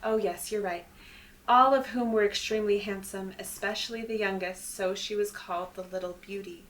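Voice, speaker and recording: A woman's voice: medium pitch, fairly even intonation, normal speed, a bit bright, a little hiss or hum, poor audio. A woman speaking as a podcast panelist, conversational and sometimes reading aloud.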